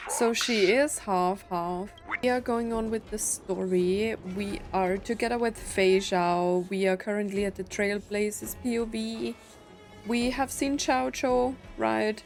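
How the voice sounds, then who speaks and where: A woman's voice: mid-range voice, steady tone, a little slow, music in the background. A woman streamer giving casual, chatty playthrough commentary, thinking aloud as she plays. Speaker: gaming streamer.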